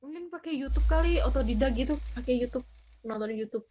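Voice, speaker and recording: Female co-host, very high voice, casual, teasing banter. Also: fairly quick, dark tone. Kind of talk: casual chat.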